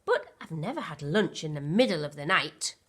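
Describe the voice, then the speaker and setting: A woman voicing a small, curious, excitable toy teddy bear cub in a children's storybook. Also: deep voice, moderate pace, breathy edge, heavily acted. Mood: puzzled, curious.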